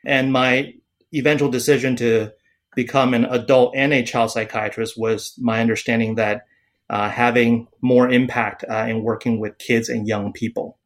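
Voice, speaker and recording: A man's voice: mid-range voice, level tone, normal speed. Hesitant man, psychiatrist podcast guest. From Taiwan. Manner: hesitant, many fillers and restarts. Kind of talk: podcast interview.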